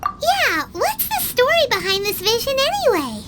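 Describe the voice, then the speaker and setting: A woman voicing a tiny, chirpy female fairy companion in a game, with a high, childlike voice. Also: wildly swooping pitch, moderate pace, very noisy, full character voice. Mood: curious. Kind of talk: game voice acting. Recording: game audio over music.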